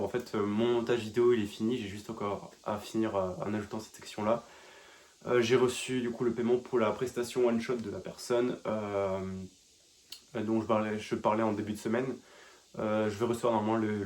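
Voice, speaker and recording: A man's voice: lowish voice, a little mellow. Young male vlogger with a head cold, rambling casual diary talk, phone mic. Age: young adult. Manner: rambling, many fillers and restarts. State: congested with a cold. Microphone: phone held facing him.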